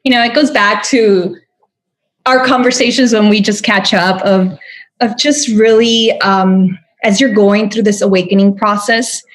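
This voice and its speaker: A woman's voice: mid-range voice, steady tone, a little slow. A young adult woman from Venezuela, a podcast guest chatting earnestly with many fillers and restarts. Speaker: podcast guest, spiritual guide. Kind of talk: casual interview chat.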